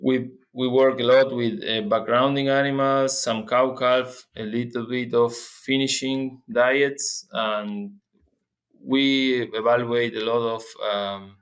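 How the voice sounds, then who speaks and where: Young adult man from Argentina, guest expert, hesitant and restarting often, mid-pitched voice. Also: steady tone. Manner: halting, frequent restarts and fillers. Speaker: guest researcher. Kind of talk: interview.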